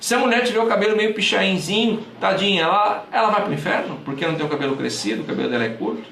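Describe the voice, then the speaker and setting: A man's voice: sits high, animated, quick pace, gravelly, fairly bright tone. A Brazilian man preaching a conversational sermon to a live audience, repeating phrases for emphasis. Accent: Brazilian Portuguese. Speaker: pastor. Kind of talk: livestream sermon. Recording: echoey room, distant mic, faint noise.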